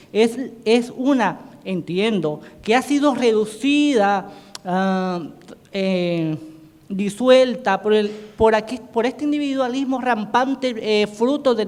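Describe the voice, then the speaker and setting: A man whose first language is Portuguese gives an academic conference presentation as a panelist, talking haltingly with many restarts and self-corrections. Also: extremely high pitch, darkish tone. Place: conference hall. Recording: reverberant hall sound.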